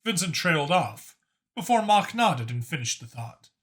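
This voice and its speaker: A man's voice: fairly high pitch, big pitch swings, normal speed, fairly bright tone. A man reading scripted fiction aloud as an audiobook narrator.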